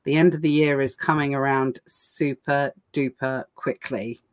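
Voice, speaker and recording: A woman hosting a casual livestream chat, talking fast with lots of fillers and restarts, her voice going hoarse. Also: a bass voice, fairly even intonation. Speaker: podcast host.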